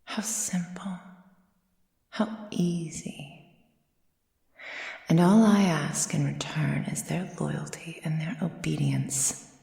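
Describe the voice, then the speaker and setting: Female vampire mistress, played by a woman, ASMR roleplay: very low, unhurried, possessive and condescending, half-whispered, in a reverberant room. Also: fairly even intonation, warm tone, exaggerated voice. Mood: cold, exasperated. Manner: silky, measured, formal, often dropping into breathy whispers. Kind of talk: slow, intimate ASMR roleplay. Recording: echoey room with long reverb.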